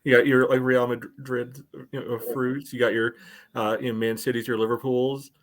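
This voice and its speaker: A man co-hosting a casual sports podcast, talking in a loose, halting way with lots of filler phrases. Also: medium pitch, little pitch movement. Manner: hesitant phrasing peppered with "you know".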